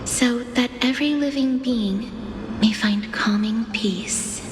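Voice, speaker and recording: An actress playing a gentle, solemn young woman in a video game, soft and wistful in manner. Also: a little high, slow. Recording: echoey with noise behind.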